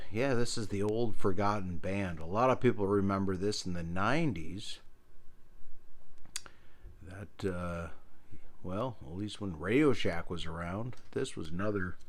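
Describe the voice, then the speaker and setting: A man's voice: fairly low pitch, unhurried. A middle-aged man giving a casual, meandering show-and-tell as an enthusiastic hobbyist host. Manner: meandering, enthusiastic about old gear. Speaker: video host, radio hobbyist.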